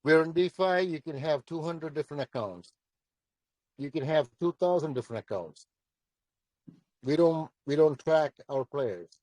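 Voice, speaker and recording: A man with a commanding voice fields questions in a live audio session. His tone is mellow and dark, and the sound is dry with no room echo. He speaks bluntly and repeats his points for emphasis. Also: on the high side. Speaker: project founder answering questions.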